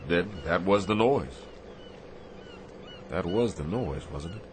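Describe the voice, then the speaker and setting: An actor playing a firm, compassionate but weary Navy captain in a radio drama, speaking with deliberate, commanding emphasis. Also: male voice, low-pitched, animated. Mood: weary, somber. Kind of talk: dramatic radio theatre acting. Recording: faint background noise.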